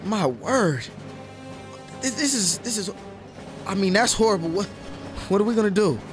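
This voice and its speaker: An actor playing a nervous, earnest and wordy young deputy in a Western audio play. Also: male voice, high-pitched, expressive, normal speed, over music. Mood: shaken, panicky. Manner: hesitant, stammering.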